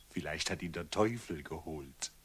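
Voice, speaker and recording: An actor playing an obedient, dryly sardonic old servant who hunts fugitives, in a classic German radio play. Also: male voice, rumbling low, slow pace, a bit bright, a little hiss or hum, exaggerated voice. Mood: grimly obedient. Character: old manhunter servant, obedient, grimly wry.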